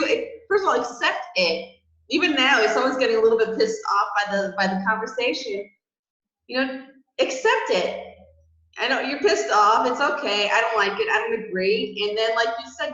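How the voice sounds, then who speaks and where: A woman's voice: a little high, sharp tone. A woman co-hosting a casual chat, giving earnest, reflective advice to clients and listeners. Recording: echoey, slightly muffled room.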